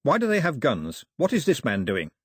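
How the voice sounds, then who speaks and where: Brooding young islander read by a man, wistful, soft, husky, dry sound. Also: mid-range voice, huge pitch swings, normal speed, no echo, pitched above the performer's own voice. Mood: sharp.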